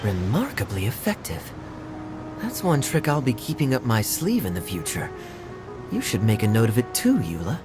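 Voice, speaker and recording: A man playing a suave, teasing, quick-witted young adventurer in a video game cutscene, wry and deliberate. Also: medium pitch, wildly swooping pitch. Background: background music.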